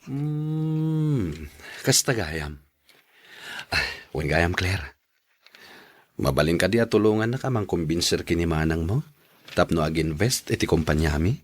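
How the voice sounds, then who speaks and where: A man with an Ilocano accent playing a flattering, wheedling suitor in a radio drama. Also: low voice, measured pace, pauses often. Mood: persuasive. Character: Billy, smooth-talking flirtatious male suitor and recruiter. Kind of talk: Filipino radio drama acting.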